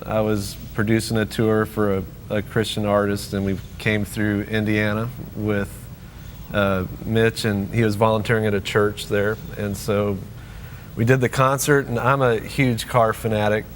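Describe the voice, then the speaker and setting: A man's voice: fairly low pitch, little pitch movement, measured pace, slight background noise. Easygoing, joking man as interview guest. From Hong Kong. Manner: easygoing and joking, chuckles often. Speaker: guest, tour producer. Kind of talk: relaxed conversational interview.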